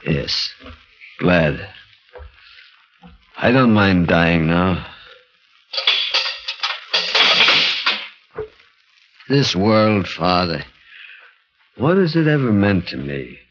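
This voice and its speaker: An actor playing a tormented murderer, a former midget grown into a giant, in intense, melodramatic 1940s radio horror style. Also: male voice, low voice, big pitch swings, takes it slowly, long pauses, very noisy, exaggerated voice. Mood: resigned, sad. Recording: dull 1940s radio recording, faint music.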